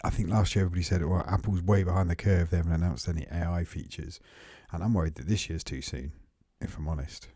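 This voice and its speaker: A deep-voiced man co-hosts a tech podcast in a relaxed, thoughtful way, pausing with frequent ums. His voice is mellow and slightly husky, and it sits dry and close to the mic. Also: dry recording. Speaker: podcast co-host. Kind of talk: casual tech chat.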